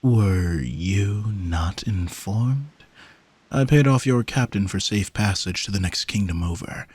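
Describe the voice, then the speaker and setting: Vain, teasing gentleman sea monster for audio roleplay, played by a man: lowish, formal, haughty delivery, very dry close sound. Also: husky, no echo, heavily acted. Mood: guarded, indignant. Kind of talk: scripted audio roleplay, spoken to the listener.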